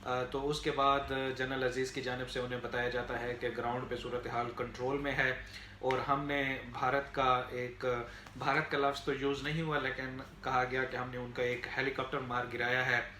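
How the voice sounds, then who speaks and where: Pakistani guy doing political commentary, halting and explaining, pretty flat pitch, echoey room. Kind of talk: unscripted news commentary to viewers. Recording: echoey room, faint background noise.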